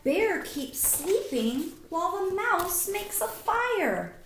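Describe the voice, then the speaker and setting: A woman reading a picture book aloud to children like a teacher, expressive and deliberate, pausing to explain. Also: extremely high pitch, creaky, a little hiss or hum. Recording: roomy echo.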